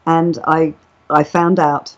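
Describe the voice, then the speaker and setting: A woman's voice: sits low, level tone, slow pace. A woman chatting casually on a live stream, telling stories and explaining things in a chatty way. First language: English. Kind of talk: casual live chat, storytelling. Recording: dull lo-fi internet chat audio.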